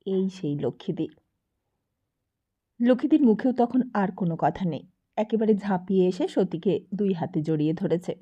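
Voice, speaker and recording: A woman reading literary fiction as an audiobook narrator, in an expressive scripted storytelling style. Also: medium pitch, normal speed, long pauses, husky, lo-fi sound.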